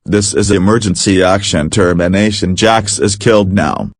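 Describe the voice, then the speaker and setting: A man delivers a mock emergency broadcast in a flat, formal manner. His voice sits a little low and sounds husky. The recording is dry and close. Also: normal speed, no room sound. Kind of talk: mock emergency alert reading.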